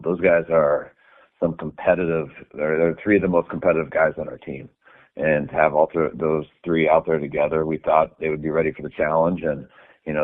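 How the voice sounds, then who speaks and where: A man, a head coach, answering reporters' questions on a conference call in a relaxed way, with many fillers. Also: rumbling low. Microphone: phone. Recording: phone-line audio.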